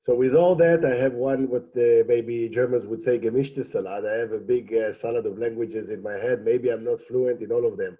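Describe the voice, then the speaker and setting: A man whose first language is Hebrew, speaking in a measured, hesitant way with many fillers on a panel. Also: medium pitch, fairly even intonation, muffled recording. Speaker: program alumnus panelist. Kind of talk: panel discussion.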